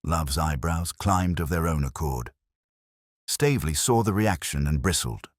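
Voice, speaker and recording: A man's voice: rumbling low, lively intonation, measured pace, no room sound, studio quality. A man reading scripted mystery fiction as a narrator, with a wry, literary storytelling manner.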